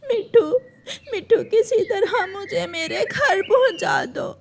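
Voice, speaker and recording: A woman's voice: expressive, slow pace, vocal fry, full character voice. A woman voicing a greedy, ageing female crow in a melodramatic, whiny cartoon manner. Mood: tearful lament. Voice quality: tearful, high-pitched.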